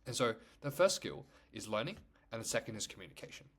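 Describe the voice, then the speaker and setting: A man's voice: medium pitch, sing-song, fast, vocal fry, a bit bright. A young man whose first language is Chinese, talking casually to camera as a YouTuber, rambling with lots of "like" and "you know". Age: young adult.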